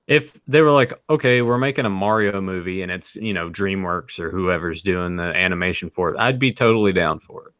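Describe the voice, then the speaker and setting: A man's voice: deep voice, a little fast, few pauses, a bit bright, no echo. A man hosting a casual podcast chat, with a joking, teasing manner. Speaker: podcast host.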